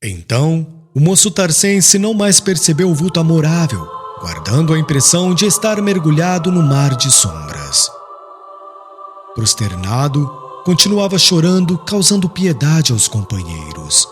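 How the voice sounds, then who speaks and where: A man reading a religious story in a solemn, expressive literary narration. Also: fairly high pitch, a little slow, faint music behind. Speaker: audiobook narrator.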